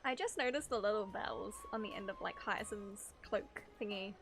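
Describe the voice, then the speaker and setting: A woman's voice: medium pitch, normal speed. A woman streaming a game, chatting casually in a giggly, rambling way. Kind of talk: casual live chat commentary. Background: faint game music.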